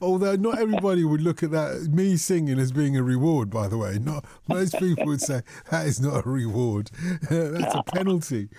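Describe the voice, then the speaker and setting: A man's voice: fairly high pitch, animated, moderate pace, mellow, slight background noise. A man hosting a late-night radio phone-in, chatty and joking with callers. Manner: jokey, chatty, chuckles often. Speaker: radio host. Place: radio studio.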